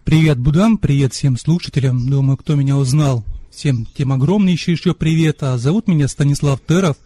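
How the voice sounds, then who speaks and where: A man's voice: fairly high pitch, a little fast, warm tone, dry recording. A man appearing as a podcast guest, speaking in a friendly, expansive way and telling stories at length. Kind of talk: casual podcast conversation. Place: remote call from Moscow.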